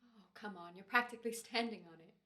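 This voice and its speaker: A woman's voice: mid-range voice, fast, bright, forceful tone, natural voice. A woman playing a worn-out, dryly wisecracking performer in an old-time American radio comedy. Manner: dry, sarcastic, casual.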